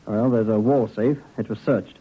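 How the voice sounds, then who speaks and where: A man's voice: lowish voice, steady tone, moderate pace, slightly rough. An actor playing a matter-of-fact junior policeman giving terse, deferential reports in a vintage radio drama. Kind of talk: 1950s radio drama acting. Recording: thin, narrow old radio recording.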